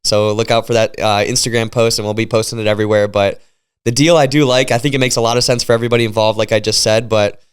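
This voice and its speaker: A man's voice: on the low side, steady tone, quick pace, clean tone. A man talking as league commissioner in a casual podcast chat, congested from a cold, explaining things in an upbeat way.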